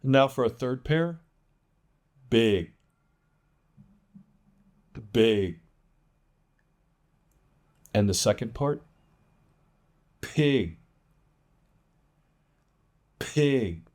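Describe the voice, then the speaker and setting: A man giving an English pronunciation lesson as a teacher, enunciating example words with deliberate emphasis. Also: medium pitch, lively intonation, darkish tone. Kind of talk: instructional lesson with demonstrated words.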